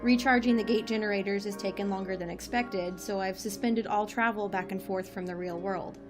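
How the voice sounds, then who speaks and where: A woman's voice: medium pitch, fairly even intonation, a little fast, music in the background, close to an everyday voice. An actress playing a dry, exasperated woman director in a comic audio drama, with a wry, officious manner. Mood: uneasy, reflective.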